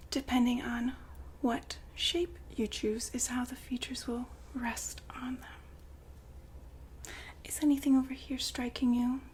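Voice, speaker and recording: A woman's voice: a little high, flat delivery, takes it slowly, darkish tone. A woman playing a courteous, soothing saleswoman in a futuristic android shop, in a whispered ASMR roleplay with polite customer-service manners. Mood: calm, attentive.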